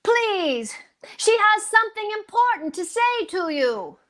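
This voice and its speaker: A woman playing an excitable, scheming housewife in a 1940s-style radio sitcom, animated and pleading. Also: extremely high pitch, a little slow, slight background noise, exaggerated voice. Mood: urgent, pleading. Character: excitable, scheming housewife, eager to explain herself.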